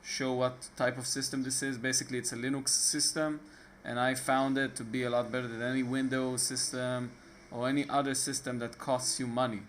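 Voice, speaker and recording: An Israeli man with a medium-pitched voice hosts a casual screen-recording tutorial. He speaks in a relaxed, unscripted way and often stumbles into fillers and restarts. He sounds like he is recording at his own desktop computer. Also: slight background noise. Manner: halting, frequent fillers and restarts. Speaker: tutorial host.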